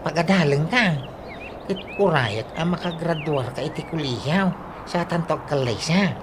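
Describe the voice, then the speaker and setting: A woman's voice: low-pitched, lively intonation, measured pace. A woman playing a pushy, lovestruck man in a comedic radio drama, with a Filipino accent and a wheedling, exclamatory delivery. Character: pushy, lovestruck man courting a much younger girl. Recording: clearly noisy radio drama recording.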